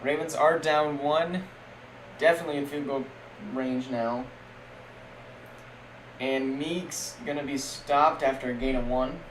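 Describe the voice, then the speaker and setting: A young man streaming casual, jokey play-by-play of a simulated football league, getting loud on big plays. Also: mid-range voice, fairly even intonation, unhurried, long pauses. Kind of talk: live-stream game commentary. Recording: echoey room with faint background noise.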